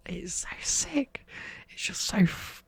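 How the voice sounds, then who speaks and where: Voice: medium pitch, big pitch swings, slow, creaky, breathy edge. A young adult whispering a casual, enthusiastic ASMR music review, swearing now and then and muttering lyrics under the breath. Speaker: ASMR vlogger.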